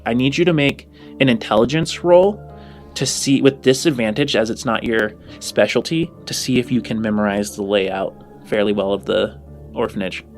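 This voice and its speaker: A man's voice: medium pitch, normal speed, soft background music. A man narrating a tabletop game casually as game master, rephrasing as he improvises his descriptions.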